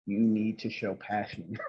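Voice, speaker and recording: A man's voice: on the low side, level tone, slow, slightly rough. Man giving earnest advice over dull, lo-fi audio. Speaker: UX designer and mentor, interview guest. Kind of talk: casual interview chat.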